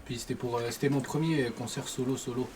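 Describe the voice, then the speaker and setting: A young man, a guest rapper, answering questions in a relaxed, laid-back way during a casual chat. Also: mid-range voice, fast. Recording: clear background noise.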